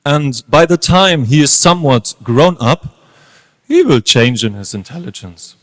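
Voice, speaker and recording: A man's voice: a little high, sing-song, unhurried. An actor playing an anxious, deferential teacher at a king's court, pleading theatrically in a dramatic reading. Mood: fawning, anxious. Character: anxious, deferential male teacher serving a demon king. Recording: reverberant hall.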